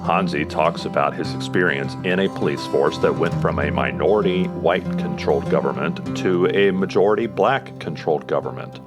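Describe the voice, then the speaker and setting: A man's voice: rumbling low, normal speed, few pauses. An American man hosting a podcast as a police chaplain, reading an earnest intro and then interviewing his guest. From United States. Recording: music behind the voice. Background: guitar music.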